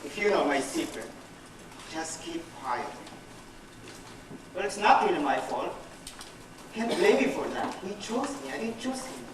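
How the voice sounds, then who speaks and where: Heard from far off in a very echoey hall, a bitter, guilt-ridden male betrayer. The voice sits fairly high and moves theatrically between hushed muttering and anguished outbursts, as a defensive, agitated stage monologue. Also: very animated, measured pace, long pauses, background noise.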